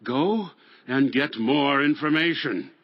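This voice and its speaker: A man's voice: medium pitch, slow pace, dry recording. A man acting an imperious king, dry muffled sound. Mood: calculating, commanding. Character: commanding, suspicious king Saul hunting his rival. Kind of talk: dramatized scripture audio acting. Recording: dry, dull lo-fi audio.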